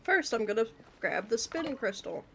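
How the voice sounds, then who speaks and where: A woman's voice: a little high, sing-song, moderate pace, creaky, fairly bright tone, slight background noise. A woman giving casual commentary and reading along as she livestreams a video game. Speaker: streamer.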